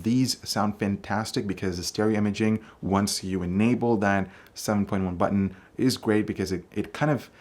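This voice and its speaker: A man with a deep, bassy voice giving a casual product review, talking loosely with frequent fillers. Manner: conversational, hedging with fillers. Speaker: tech reviewer.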